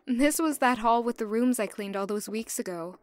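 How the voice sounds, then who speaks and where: A woman's voice: a little high, moderate pace, close to an everyday voice. A woman playing a young, anxious, curious castle servant who narrates her own story in an audio drama. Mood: nervous, musing. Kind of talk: first-person audio drama narration and dialogue.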